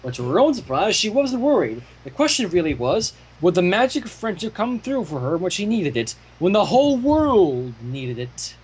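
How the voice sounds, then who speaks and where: A man reading fan fiction aloud as an expressive narrator, with casual asides. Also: high-pitched, normal speed, hardly any pauses, slightly rough, rough recording.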